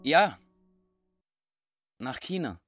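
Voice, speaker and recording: A man playing an eager, quick-witted nine-year-old boy in a radio play, answering in short, earnest phrases. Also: on the high side, very animated, exaggerated voice. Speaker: neighbour's son. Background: music.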